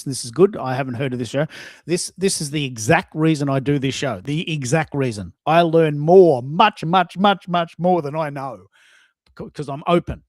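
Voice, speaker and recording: A man with a slightly high voice hosts a casual online talk show. He speaks emphatically and likes to repeat phrases for stress. The sound is close and dry. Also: no echo.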